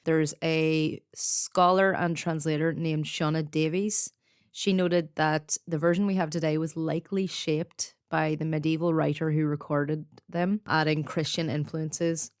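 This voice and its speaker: Low-voiced woman podcaster telling folklore. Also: steady tone, normal speed, darkish tone, no echo, studio quality. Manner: explanatory with informal asides. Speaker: podcast co-host. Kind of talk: conversational storytelling about folklore.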